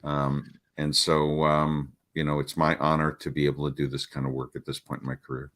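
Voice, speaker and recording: A middle-aged man with a deep voice, a parenting coach talking casually in an interview, with many restarts and fillers. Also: level tone, a little fast, fairly bright tone.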